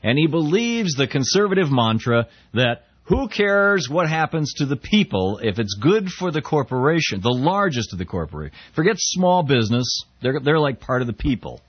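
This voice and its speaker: A man's voice: medium pitch, sing-song, normal speed, hardly any pauses, husky, dry recording, muffled recording. A middle-aged man from the United States hosting a talk show, giving a conversational political commentary with frequent restarts and quoted readings. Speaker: talk radio host.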